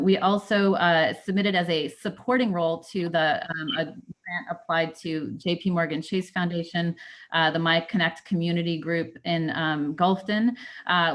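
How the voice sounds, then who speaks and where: Female director, lowish voice, halting report delivery full of fillers, video call. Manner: halting, with frequent fillers and restarts. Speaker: executive director giving a report. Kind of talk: formal board meeting report.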